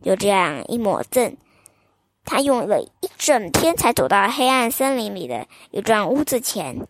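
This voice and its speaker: A woman storyteller reading a fairy tale to children in a slow, expressive, sing-song way. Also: medium pitch, noisy background.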